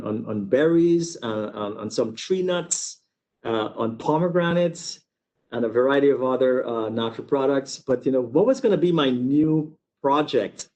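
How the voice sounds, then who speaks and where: A man's voice: mid-range voice, a little mellow. A man from Guyana giving an informal academic webinar lecture, explaining step by step with repeated words and asides. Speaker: university researcher giving a webinar.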